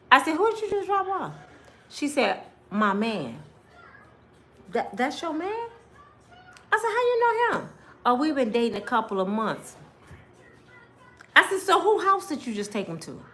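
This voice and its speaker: An American woman tells a personal story straight to her viewers. Her voice is bright and forceful, with pitch that moves widely. She is blunt and expressive, voicing both sides of conversations as she recounts them. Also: slight background noise. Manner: blunt, expressive, acts out dialogue. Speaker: storytime vlogger.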